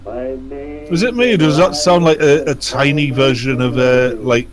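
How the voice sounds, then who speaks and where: A man giving casual, joking commentary as co-host of a listen-along show, teasing and excitable. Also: mid-range voice, a little slow, a crowd in the background. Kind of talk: casual joking commentary over radio drama. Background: loud music from the programme.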